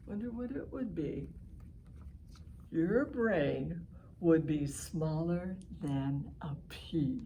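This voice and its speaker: Expressive woman reading aloud to children, unhurried. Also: medium pitch, slight background noise. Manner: expressive and animated, with exaggerated emphasis and exclamations; explains simply, addressing young listeners directly. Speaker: reading session leader for children. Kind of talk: picture-book read-aloud with asides to children.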